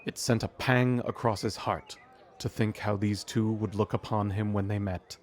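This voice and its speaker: Man as a low-voiced storybook narrator. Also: steady tone, darkish tone, a little hiss or hum, lightly acted. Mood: measured. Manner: measured storytelling. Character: omniscient storybook narrator of a Christmas tale. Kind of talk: scripted audio drama narration.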